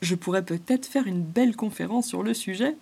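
A woman's voice: mid-range voice, brisk, creaky, warm tone, husky. A woman voicing a pompous, scholarly male woodpecker who speaks in a grand, formal manner.

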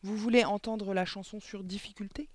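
Un homme qui lit un livre pour enfants en incarnant un petit écolier courageux, poli et empressé, à la voix aiguë. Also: male voice, fairly even intonation, normal speed, no echo. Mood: sing-song, proud. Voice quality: high-pitched. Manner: eager, insistent. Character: plucky little schoolboy, brave, eager, polite. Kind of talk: storybook reading.

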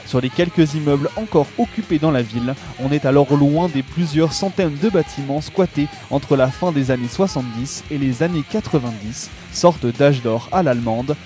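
A male radio narrator reads a prepared documentary script. His voice sits a little high for a man and is notably dark and relaxed in tone, with light guitar music behind it. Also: normal speed, few pauses.

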